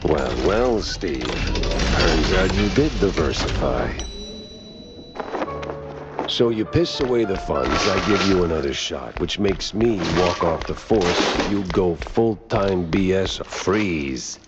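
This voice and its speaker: A man playing a world-weary hardboiled mouse private detective in a noir cartoon game, deadpan and wry. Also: low-pitched, slow pace, dull audio, over loud music. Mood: wry, musing.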